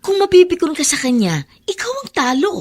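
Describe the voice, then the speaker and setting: A woman's voice: extremely high pitch, sing-song, fairly quick. A woman playing a sensible woman in a radio drama who chides and advises. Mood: advising. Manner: scolding, advising. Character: sensible, chiding woman counselling an exasperated man. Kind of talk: Filipino radio drama acting.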